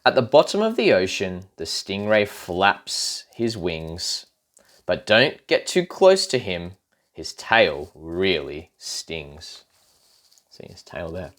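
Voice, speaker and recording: A man reading a children's rhyming picture book aloud in an expressive, playful way, with asides to young listeners. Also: sits low, slow. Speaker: storybook reader.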